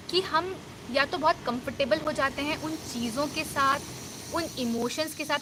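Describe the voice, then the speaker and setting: A woman's voice: sits high, noisy background. A woman life coach giving an earnest, reassuring self-help talk, mixing English words into her Hindi.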